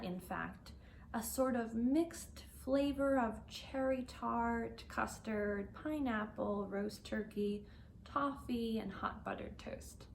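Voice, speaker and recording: A woman teacher reading and talking to language learners, expressive and carefully enunciated. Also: a little high, vocal fry, slight background noise, low-quality recording. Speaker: host and reader, English teacher. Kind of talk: storybook read-aloud for language learners.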